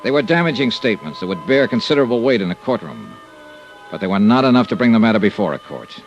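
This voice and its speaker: An American actor playing a tough, sardonic insurance investigator in a clipped 1950s radio drama style. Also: male voice, on the low side, sing-song, fast, dull audio, faint music behind. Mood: brisk, matter-of-fact. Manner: clipped, hard-boiled delivery. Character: tough, sardonic male insurance investigator narrating his case. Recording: vintage radio drama recording.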